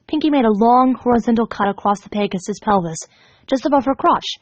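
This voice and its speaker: A woman's voice: fairly high pitch, brisk, dry recording, muffled recording. A woman reading a story aloud as scripted narration, as a fan fiction reader.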